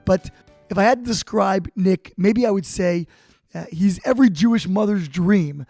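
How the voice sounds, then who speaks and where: A man's voice: high voice, normal speed, creaky, a little mellow, soft background music. A male podcast host interviewing a guest, friendly and curious, reacting with "wow" and "incredible". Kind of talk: interview hosting.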